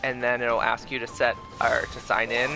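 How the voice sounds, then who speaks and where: A man's voice: medium pitch, flat delivery, normal speed, gravelly. A man casually chatting and explaining things in a laid-back way during a game livestream. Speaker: game streamer. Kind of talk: casual livestream chat over gameplay. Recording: game music behind the voice. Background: game music and effects.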